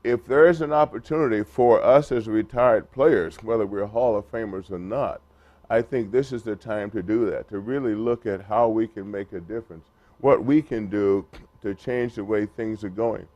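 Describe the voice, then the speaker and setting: A man speaking at a press conference for a retired players group, halting, with many fillers and restarts. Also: on the low side, dry recording. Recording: muffled lo-fi recording.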